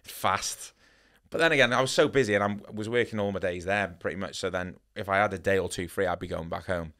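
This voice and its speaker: A man's voice: low voice, a little fast, sharp tone. A man from North Wales, a tattooist, chatting as a podcast guest in a studio, upbeat and rambling with lots of "you know" and "yeah". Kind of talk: casual interview chat. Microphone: studio boom mic.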